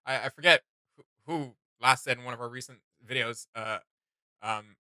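A young man chatting casually as a reaction video co-host, enthusiastic and animated, with slangy phrasing. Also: mid-range voice, normal speed, no room sound. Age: young adult. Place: small indoor room with gaming setup.

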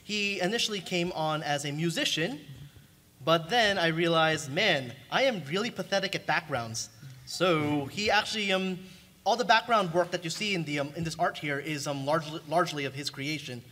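Halting, higher-voiced bright man. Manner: halting, repeats words. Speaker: convention panelist, artist. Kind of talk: casual panel talk. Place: convention panel room with audience. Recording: faint room noise.

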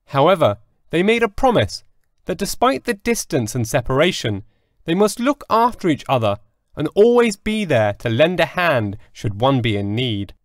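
Male storyteller, higher-pitched voice, scripted audiobook narration, deliberate pauses, very animated intonation. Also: no room sound, clean studio sound. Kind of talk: scripted fairy tale narration.

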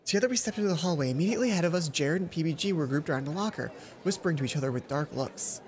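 A young man narrating a game aloud with playful, expressive commentary, as a let's play host. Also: a little high, rapid-fire, slight background noise. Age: young adult. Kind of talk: reading visual novel aloud with commentary.

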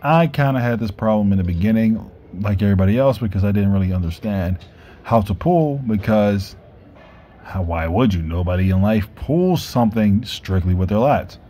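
A man's voice: on the low side, animated, moderate pace, mellow. A man in his thirties vlogging about his workout, with loose, self-correcting explanations, filmed on a phone camera. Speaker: fitness vlogger. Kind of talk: casual gym vlog talk. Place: gym indoors, then outdoors by trees. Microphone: phone camera propped up, then held at arm's length. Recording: faint background noise.

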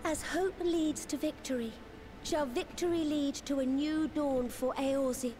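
A woman playing an earnest, gracious young sovereign in a game cutscene, with formal, stately, measured diction. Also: high-pitched, warm tone, a little hiss or hum. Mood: solemn, hopeful. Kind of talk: scripted game cutscene dialogue.

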